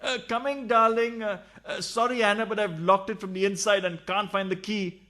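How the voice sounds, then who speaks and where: A man's voice: sits high, fairly even intonation, normal speed, sharp tone. Man playing a flustered, scheming radio-farce husband. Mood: flustered, placating. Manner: hesitant, stammering, smooth-talking. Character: scheming, flustered husband hiding his mistress, placating and evasive. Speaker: actor.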